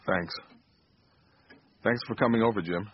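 Radio drama dad: low, sincere, subdued, remorseful, slightly muffled recording. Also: male voice, unhurried, husky. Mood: tender, regretful. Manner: subdued, plain-spoken, sincere. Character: Martin, earnest, regretful small-town father. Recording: dull lo-fi audio, faint music.

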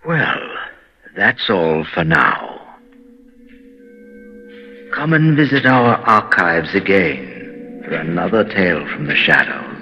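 A man hosting and narrating macabre scripted tales in a husky voice. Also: sits low, lively intonation, slow, long pauses, bright, forceful tone, muffled recording. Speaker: narrator and program host.

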